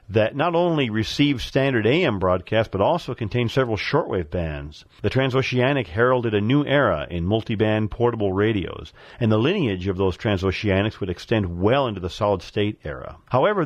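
Middle aged guy reading a nostalgia piece for radio, lowish voice, dry close mic. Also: dry recording. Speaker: radio show contributor on vintage radios. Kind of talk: scripted nostalgic narration.

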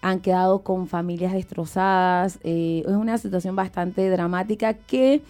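A woman's voice: on the low side, steady tone, moderate pace, mellow, soft background music. A woman co-hosting live radio, chatting casually and descriptively to the audience. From Venezuela. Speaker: radio co-host.

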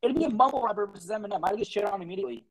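A young man hosting a casual podcast among friends, talking fast with a stutter and plenty of swearing. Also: high voice, no room sound.

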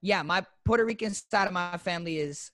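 Young man, from Florida, guest streamer, slangy casual talk, high voice, dry close recording. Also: brisk, fairly bright tone, no echo. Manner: loose, slangy, with lots of "like" fillers. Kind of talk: casual interview chat.

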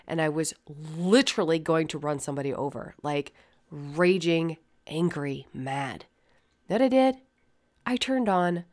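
A woman's voice: fairly low pitch, smooth. A woman hosting a casual solo podcast, scratchy and sniffly from a head cold, candid and rambling, swearing freely. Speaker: podcast host.

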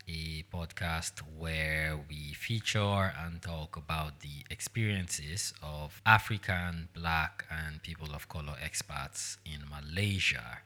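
A man hosts an interview podcast, his voice low and unhurried. His tone is bright and forceful, and he opens with a measured welcome before drawing out his guest with brief encouraging remarks. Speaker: podcast host.

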